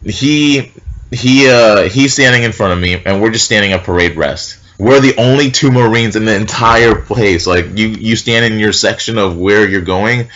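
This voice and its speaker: Young man, loud heavy voice, profane storytelling. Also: fairly low pitch, moderate pace. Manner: animated, profane, acts out shouted quotes. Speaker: vlogger. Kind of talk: casual storytelling vlog. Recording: dull lo-fi sound.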